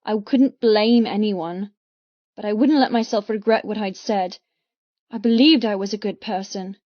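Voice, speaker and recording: A woman reading dramatic first-person audiobook narration in an intense, deliberate manner. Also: medium pitch, no room sound, lo-fi sound.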